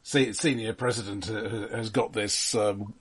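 A man co-hosting a casual film discussion podcast, halting as he talks and often stammering over repeated words. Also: lowish voice, steady tone, slightly rough. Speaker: podcast co-host.

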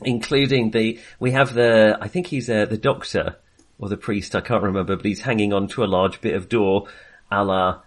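A man co-hosting a podcast, chatting casually about films in a halting, jokey, self-deprecating way. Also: on the low side, fairly even intonation. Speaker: podcast co-host. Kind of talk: casual film discussion.

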